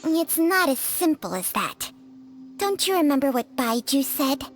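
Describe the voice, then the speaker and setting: Wise spirit, female, blunt, solemn, measured, video game dialogue, music behind. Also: high voice, very animated. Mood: matter-of-fact. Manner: measured, solemn, matter-of-fact. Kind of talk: video game character acting.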